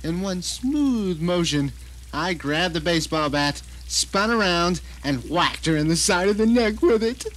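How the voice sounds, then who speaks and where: A man's voice: high-pitched, animated, a little slow, a bit bright. A man playing a self-satisfied novelist trapped at home, narrating his grim ordeal dramatically in an audio drama. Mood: smug, cunning. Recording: noisy 1990 radio drama recording.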